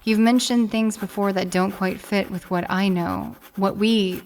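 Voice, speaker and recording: An actress playing a grieving, loving letter writer in an audio drama, speaking emotionally with hesitant, repeated phrases. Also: female voice, mid-range voice, steady tone, a little slow, darkish tone, slight background noise, lightly acted. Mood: uneasy.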